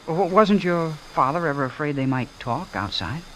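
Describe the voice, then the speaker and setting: A man's voice: fairly high pitch, expressive, moderate pace, a bit bright. An actor in an old-time radio drama playing an earnest, lovestruck young man, nervous and indignant, with a hesitant, stammering delivery. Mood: horrified, indignant. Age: young adult. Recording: noisy vintage radio broadcast recording.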